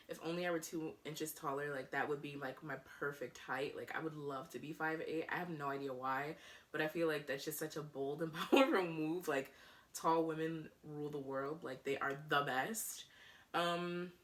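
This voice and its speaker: Low-voiced chatty young woman. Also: a bit bright, recorded from a distance. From Rochester, New York, USA. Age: 20s. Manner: chatty, rambling, giggly, lots of ums and likes. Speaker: vlogger. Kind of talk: casual get-to-know-me chat.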